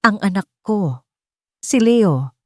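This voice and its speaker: A woman's voice: medium pitch, lively intonation, takes it slowly, warm tone, no room sound, studio quality. Woman voicing a desperate, pleading broken man.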